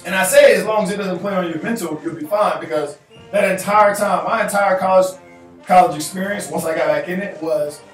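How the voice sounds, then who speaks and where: Man, 30s, high voice, animated hand-gesturing advice vlog, echoey room, mic a bit far off, faint music behind. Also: a little fast. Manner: animated, gestures with hands, repeats phrases for emphasis. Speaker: advice vlogger answering viewer emails. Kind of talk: casual advice talk to camera. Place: indoor room in front of a chalkboard backdrop.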